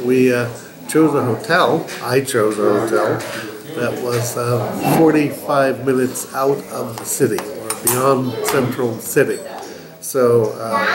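A man in his seventies with an American accent, narrating his own personal travel video. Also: mid-range voice. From United States. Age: 70s.

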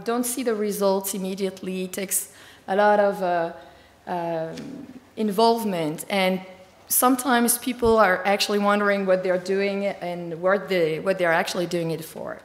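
A woman with a slightly hoarse, tiring voice giving a conference talk, fluent but with many fillers. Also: mid-range voice, steady tone, unhurried. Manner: fluent, filler-heavy explaining. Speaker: conference speaker. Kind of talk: conference presentation. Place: large conference hall. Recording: echoey hall with long reverb.

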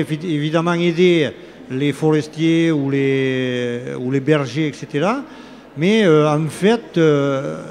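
Hesitant older man, slightly high voice. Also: darkish tone. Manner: hesitant, many fillers and restarts. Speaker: local elected official. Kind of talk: live talk-show interview. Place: open studio set at a trade fair hall. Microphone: red handheld microphone. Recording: faint fair hall ambience.

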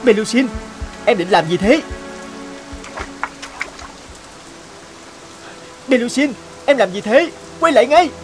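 A man's voice: very high voice, expressive, normal speed. An actor playing an earnest, anxious young fisherman in a fairy-tale cartoon, speaking with dramatic emotion. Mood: distressed. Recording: music and rain behind the voice.

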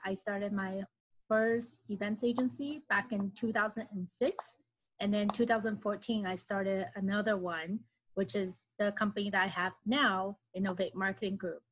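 A woman's voice: mid-range voice, a little hiss or hum, low-quality recording. Female panelist, 30s, upbeat conversational delivery, earbud mic on a video call. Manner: upbeat, conversational, with "you know" fillers. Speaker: agency founder and panelist. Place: home office. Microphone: earbud headset on a video call.

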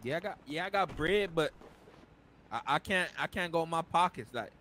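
A man's voice: on the high side, expressive, moderate pace, pushed and bright, slight background noise. A young American man chatting casually in a roleplay game, using slang and swearing. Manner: slangy, profane. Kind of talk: casual in-character game banter.

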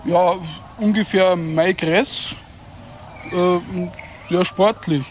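An actor playing a hesitant young man, a son answering police haltingly in a radio crime drama. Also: high voice, fairly even intonation, slight background noise. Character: hesitant grown son describing a suspect.

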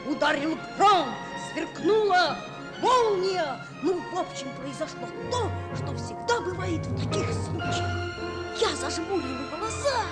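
An actress playing a dreamy, stubborn schoolboy in a Soviet radio play, with an eager, theatrical delivery. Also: female voice, very high-pitched, expressive, a little slow, loud music behind the voice. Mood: dramatic storytelling. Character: dreamy, stubborn schoolboy narrating his sea adventure.

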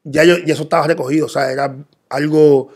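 A middle-aged man from the Dominican Republic raised in Rico, answering interview questions calmly with many pauses and fillers. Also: on the high side. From Dominican Republic, raised in Puerto Rico. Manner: calm, hesitant, many fillers. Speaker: interview guest. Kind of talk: casual interview. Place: pink studio set. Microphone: boom studio mic.

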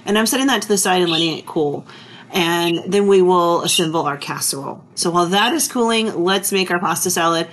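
A woman, chatting relaxed and easygoing as she narrates her cooking vlog. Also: lowish voice, fairly quick, low-quality recording. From Texas. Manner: chatty, relaxed, full of fillers. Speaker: vlogger. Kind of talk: casual kitchen vlog narration.

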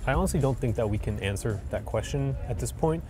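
A man's voice: medium pitch, lively intonation, fast. Upbeat young man hosting a city explainer. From San Francisco. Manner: upbeat, conversational, direct address. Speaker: video host. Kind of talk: scripted explainer talk to camera. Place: outdoors on city streets. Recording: music bed underneath.

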